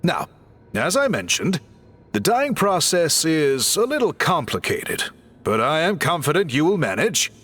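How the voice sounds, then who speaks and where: Expressive, courteous young artisan. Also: male voice, a little high, measured pace, breathy edge, heavily acted. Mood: confident, eager. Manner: articulate, polite, earnest, theatrical. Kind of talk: video game character acting.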